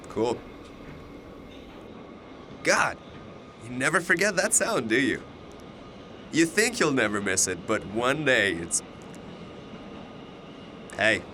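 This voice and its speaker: A man's voice: very animated, slow, long pauses, bright, forceful tone, full character voice. A man acting in a naturalistic audio drama, talking in a casual, slangy way. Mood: chatty. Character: young male university student commuter confronted by chatty stranger. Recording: faint train noise. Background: subway car rumble.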